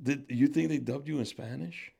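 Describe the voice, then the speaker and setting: A man's voice: mid-range voice, normal speed, vocal fry, warm tone, slightly rough. A man hosting a podcast in casual, joking chat, often slipping into voices. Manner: playful, joking, breaks into impressions. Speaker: podcast host.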